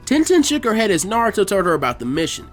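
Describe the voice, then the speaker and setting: A man reading fan fiction aloud as an expressive scripted story narration. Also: high-pitched, fast, faint music behind.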